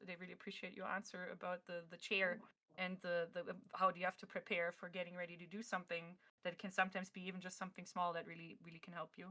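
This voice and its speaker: A woman talking casually and emphatically on a livestream panel, with an encouraging, animated manner. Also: on the low side, fast, hardly any pauses. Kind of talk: casual panel talk, giving advice and opinion.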